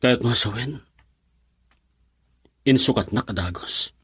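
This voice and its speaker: Male actor as downcast boyfriend, Ilocano accent, terse, hesitant, slightly raspy, radio drama. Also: mid-range voice, slow pace, fairly bright tone, exaggerated voice. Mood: downcast, defensive.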